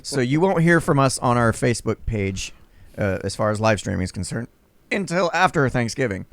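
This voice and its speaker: A man's voice: medium pitch, big pitch swings, fairly quick, no echo. A man in his thirties hosts a casual podcast, delivering jokes in a mock-serious tone and laughing often. His voice sits in an ordinary middle register. The sound is close and dry, from a stand mic in a small home studio. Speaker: podcast host. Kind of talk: joking podcast banter. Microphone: studio mic on a stand, wearing headphones.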